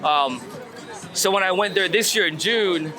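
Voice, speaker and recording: A man's voice: high voice, unhurried, pushed and bright, faint music behind, a faint crowd, rough recording. A man being interviewed casually as a street photographer, thinking aloud and repeating words as he goes. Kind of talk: casual walk-and-talk interview. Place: outdoors on a city street. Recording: outdoor street recording with city traffic noise. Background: urban ambience and vehicles.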